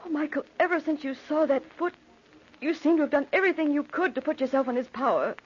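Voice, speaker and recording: Young fiancée played by a woman: high, fretful, earnest voice, vintage radio melodrama, muffled recording. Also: fast talker, darkish tone, lightly acted. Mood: alarmed. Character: anxious, devoted young bride-to-be. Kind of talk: old-time radio drama acting.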